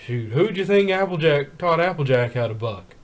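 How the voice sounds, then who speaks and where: A man's voice: a little high, lively intonation, moderate pace, pitched below the performer's own voice, natural voice. A man voicing a big, stoic male farm pony from a story, plain-spoken and folksy. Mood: modest pride. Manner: laconic, folksy.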